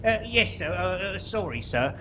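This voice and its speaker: Casting: male actor as nasty toadying guard captain, high forceful voice, live stage recording with clear noise. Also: lively intonation, normal speed. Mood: fawning. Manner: barking at inmates, fawning to superiors. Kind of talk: live comedy sketch acting. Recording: noisy live room recording.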